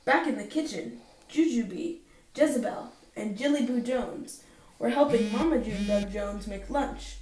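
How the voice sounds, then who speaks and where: A woman reading a children's bedtime story aloud in an expressive narrating style. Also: on the high side, normal speed. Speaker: storybook reader. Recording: roomy, distant microphone.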